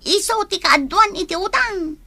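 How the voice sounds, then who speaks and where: A woman's voice: very high-pitched, lively intonation, moderate pace, pushed and bright, no room sound, exaggerated voice. A woman with an Ilocano accent playing a scheming, complaining village neighbour in a comedy drama.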